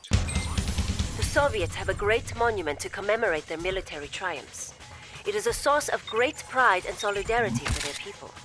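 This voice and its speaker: An actress playing a poised, devoted military logistics officer in a video game cutscene, speaking in a formal, measured and deferential way. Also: female voice, mid-range voice, animated, close to an everyday voice. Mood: formal, resolute. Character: poised, devoted female imperial logistics officer briefing commanders. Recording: loud music behind the voice.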